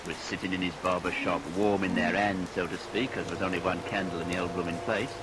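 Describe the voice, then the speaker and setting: Man as low-voiced folksy narrator, heavy noise. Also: steady tone, fast, close to an everyday voice. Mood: wry. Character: folksy, wry storyteller narrating a melodrama. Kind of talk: scripted narration of vintage radio play. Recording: old radio recording, loud rain noise.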